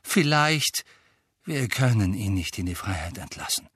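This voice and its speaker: Audiobook character, voiced by a man: gruff, scornful householder, curt commands, lively swings in pitch. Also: measured pace, no echo, clean studio sound, full character voice. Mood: dismissive.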